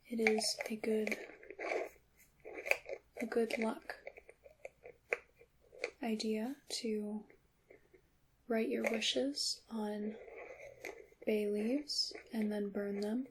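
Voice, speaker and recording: A woman playing a gentle, knowing witch in an ASMR roleplay, speaking in a breathy half-whisper with deliberate, slightly formal phrasing. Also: a little high, noisy background. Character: gentle, knowing witch teaching her craft. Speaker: ASMR performer.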